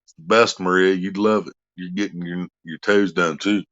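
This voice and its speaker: A man's voice: sits low, fairly even intonation, moderate pace, no room sound, muffled recording. A man, chatting crudely at home and joking around. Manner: crude joking, bursts into loud off-key singing. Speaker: vlogger. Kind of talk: casual chat at home.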